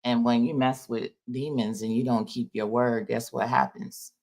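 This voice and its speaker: A man's voice: medium pitch, level tone, moderate pace, a little mellow. A man giving a loose, rambling spiritual talk to his viewers in an informal, folksy way. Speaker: spiritual vlogger. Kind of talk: casual spiritual monologue.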